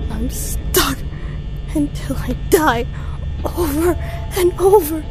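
A woman playing a terrified, trapped young woman in a horror roleplay, pleading tearfully and haltingly as she cries. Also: high-pitched, animated, music in the background. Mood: tearful despair. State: crying. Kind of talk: improvised horror roleplay acting.

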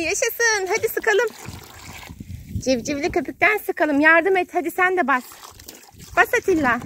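Woman, high voice, unhurried sing-song delivery for toddler play, outdoor recording with faint noise. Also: fairly bright tone. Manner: sing-song, exclaiming, simple short phrases. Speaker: children's video host playing with a child. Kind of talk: playful child-directed play narration. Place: outdoors on grass in a garden.

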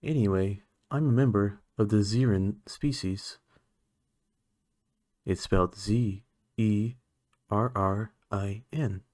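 A man playing an aloof, condescending alien scientist in an ASMR roleplay, speaking in a clinical, measured, haughty manner. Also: on the low side, darkish tone, dry recording, clean studio sound. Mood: matter-of-fact, haughty. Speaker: voice actor.

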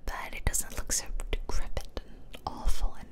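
Female ASMR gamer, whispered close-mic delivery, chatty and informal, rambling gameplay commentary. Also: moderate pace. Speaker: video game streamer, ASMR host. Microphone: close microphone, ear-to-ear whispering.

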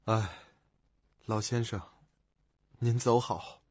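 An actor playing a sober attendant who explains matters in a radio drama. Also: male voice, fairly low pitch, fairly even intonation, takes it slowly, a little mellow, no room sound. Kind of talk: Mandarin radio drama acting. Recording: dull lo-fi recording.

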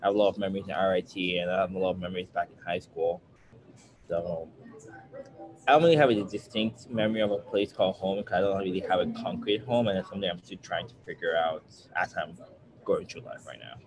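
A man's voice: deep voice, fast talker. A man in his twenties from Nigeria telling his personal story casually, rephrasing himself as he goes. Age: 20s. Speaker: university student or alum sharing his story. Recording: clearly noisy background.

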